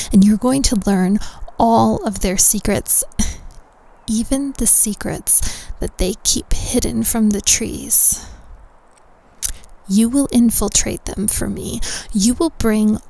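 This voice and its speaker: A woman's voice: a little high, slow pace, creaky, dark tone, heavily acted, rough recording. A woman playing a possessive, lovesick witch in a roleplay audio drama, with a cooing, domineering, menacing delivery. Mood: scheming.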